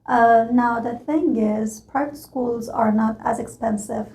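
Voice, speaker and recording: A woman's voice: a little high, fairly even intonation, unhurried, darkish tone. A woman from Iran, a native Farsi speaker, answering interview questions in a hesitant way, with many ums and likes. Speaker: interview guest. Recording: echoey room.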